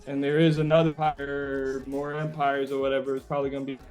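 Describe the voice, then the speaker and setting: A man being interviewed as a musician, chatting casually and reflectively, often halting as he searches for words. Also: mid-range voice, level tone, a little mellow, faint music behind.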